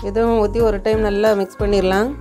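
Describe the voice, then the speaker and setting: A woman's voice: medium pitch, level tone, a little fast, warm tone, faint music behind. A woman narrates a recipe step by step in a plain, homely, colloquial way. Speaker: home cooking channel host.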